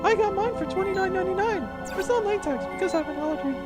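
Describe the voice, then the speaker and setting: A man's voice: extremely high pitch, fast, over loud music. A man voicing a naive, childlike ship's pilot, simple and eager, in a comic sci-fi audio drama.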